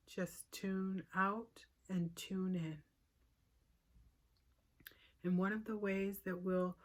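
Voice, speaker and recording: A woman leading a guided meditation as a teacher, giving short, unhurried cues with pauses and a soothing, gently inviting manner. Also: medium pitch.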